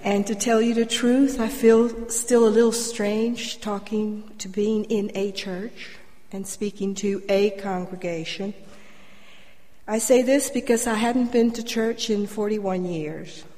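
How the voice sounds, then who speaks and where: An older woman from Colombia giving a prepared personal testimony to a church congregation, measured and wryly humorous. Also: mid-range voice, steady tone, warm tone. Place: church, addressing congregation through a microphone. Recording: reverberant hall.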